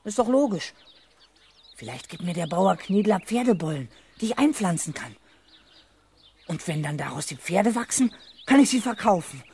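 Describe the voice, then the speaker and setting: A man's voice: high voice, a little mellow. A precocious little schoolboy in a children's radio play, full of big plans and very sure of himself. His delivery is matter-of-fact and earnest, on a slightly noisy old recording. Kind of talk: 1970s German children's radio play acting.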